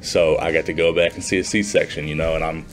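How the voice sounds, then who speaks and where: A man's voice: extremely low pitch, flat delivery, a little fast, slight background noise. A man in his twenties talking informally and conversationally to camera, like a student vlogger in a small room. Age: 20s. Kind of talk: casual vlog talk to camera. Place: small plain indoor room.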